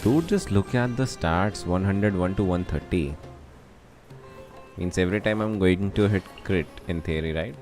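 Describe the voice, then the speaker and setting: A young man with an Indian accent and a very low voice. He chats casually as a game streamer, commenting on play, with faint music underneath. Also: normal speed.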